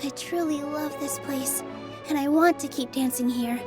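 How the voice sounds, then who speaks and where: An actress playing a gentle, devoted young dancer in a video game, speaking politely and sincerely. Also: female voice, sits high, steady tone, normal speed, music in the background, natural voice.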